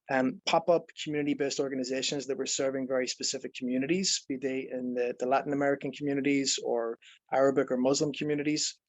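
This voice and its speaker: A man's voice: mid-range voice, a little mellow, dry recording, poor audio. A man from Ireland, presenting as a nonprofit program director in a webinar, earnest and explanatory, with frequent fillers and restarts.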